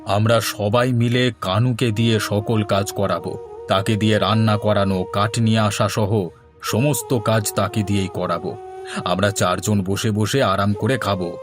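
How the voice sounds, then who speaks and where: A man's voice: lowish voice, steady tone, measured pace, over music, lightly acted. A man playing a lazy, scheming village elder brother in a cartoon fairy tale, giving orders in a bossy way. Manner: bossy, commanding.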